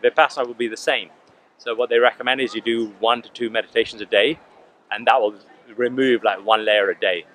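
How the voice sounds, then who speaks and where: A man in his forties talks earnestly to a close camera on a park lawn, often restarting his sentences. His pitch sits in the middle, and faint outdoor ambience hums behind him. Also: steady tone, fairly quick, a bit bright, a little hiss or hum, low-quality recording. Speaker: vlogger. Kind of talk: casual reflective monologue. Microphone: camera at close range.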